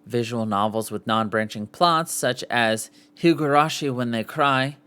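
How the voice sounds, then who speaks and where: A man's voice: medium pitch, unhurried, bright, forceful tone, clear, resonant tone. A man hosting a podcast, reading an article aloud with casual asides and sometimes stumbling and correcting himself. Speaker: podcast host.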